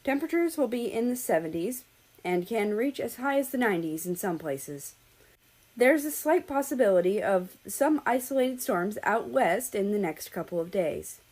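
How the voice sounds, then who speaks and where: A woman's voice: medium pitch, lively intonation, normal speed, darkish tone, lightly acted. A woman voicing a droning male television weatherman giving a forecast within a story reading.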